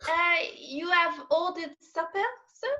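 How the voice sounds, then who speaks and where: A woman's voice: very high voice, fairly even intonation, measured pace, pushed and bright, exaggerated voice. A woman playing a deferential, fussy waiter in a Victorian farce reading, polite but insistent, over lo-fi remote audio. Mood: servile. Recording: dull, lo-fi remote call audio.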